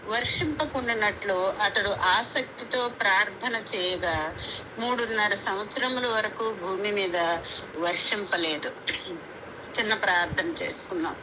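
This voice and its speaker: A woman's voice: mid-range voice, measured pace. Woman preaching earnestly over a noisy call. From India. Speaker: preacher leading a prayer meeting. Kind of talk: devotional sermon with scripture reading and prayer. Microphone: conference call. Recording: noisy conference call recording.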